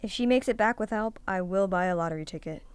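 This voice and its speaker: A woman's voice: medium pitch, quick pace, heavy background noise, close to an everyday voice. A woman acting as a bitter, sarcastic young college student in an amateur audio drama, argumentative and pessimistic. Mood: sarcastic, irritated. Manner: sardonic, argumentative.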